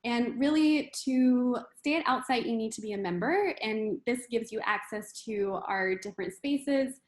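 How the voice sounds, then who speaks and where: A woman's voice: a little high, measured pace. A woman hosting and moderating an online panel, recorded with a roomy, echoing sound. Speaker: panel host, community manager.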